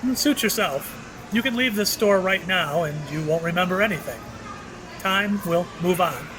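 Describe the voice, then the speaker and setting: A man's voice: high voice, expressive, normal speed, background noise. A man playing a mysterious, ageless shopkeeper in an audio drama, knowing and slyly wry, dropping cryptic, teasing hints. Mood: coaxing. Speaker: actor in an audio play.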